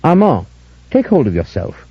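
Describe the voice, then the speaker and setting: A man's voice: on the high side, huge pitch swings, moderate pace, slightly rough, no echo, voice pushed up, heavily acted. A man voicing a weary, grave older man who speaks sadly and thoughtfully. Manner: sad, pondering. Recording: dull lo-fi audiobook recording.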